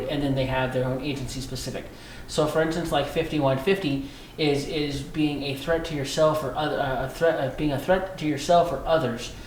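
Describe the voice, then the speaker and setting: An American man being interviewed as a police dispatcher, explaining in a conversational, halting way with repeated phrases. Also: on the high side. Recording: echoey room with faint background noise.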